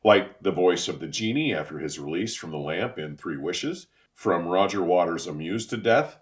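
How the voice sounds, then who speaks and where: A man narrating a scripted equipment review in an emphatic, florid manner, with deliberate enunciation. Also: low-pitched, lively intonation.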